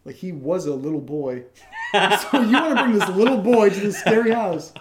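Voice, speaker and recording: A man's voice: high voice, expressive, normal speed, a crowd in the background. A man hosting a podcast in casual question-and-answer banter, with a teasing, playful manner. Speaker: podcast host.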